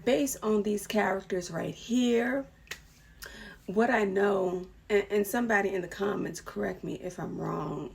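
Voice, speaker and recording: A woman's voice: medium pitch, a little slow, creaky, slightly rough. A woman vlogger talking casually about a series, with many fillers and restarts, and asking viewers to correct her. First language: English. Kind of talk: casual talk to camera.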